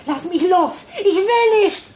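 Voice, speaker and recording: A woman's voice: very high-pitched, expressive, a little slow, a little mellow. An actress playing a frightened woman fighting someone off in a German radio drama, crying out desperately. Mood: panicked. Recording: roomy echo, faint background noise.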